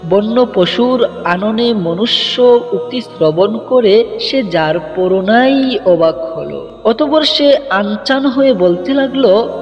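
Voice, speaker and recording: A man's voice: sits high, takes it slowly. A man narrating a scripted religious story in a formal, ornate, declamatory literary manner. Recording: dull lo-fi, long reverb, music underneath. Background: music.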